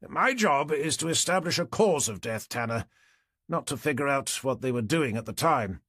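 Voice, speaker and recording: A man's voice: mid-range voice, expressive, normal speed, a bit bright, husky, no room sound, studio quality, pitched above the performer's own voice. A man voicing a fussy, exasperated police doctor in an audiobook, with a British accent. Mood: defensive.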